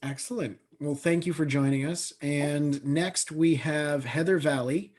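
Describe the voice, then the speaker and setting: A man moderating an online panel over a video call, talking haltingly with lots of fillers. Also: a little high, darkish tone. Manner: halting, with many fillers and restarts.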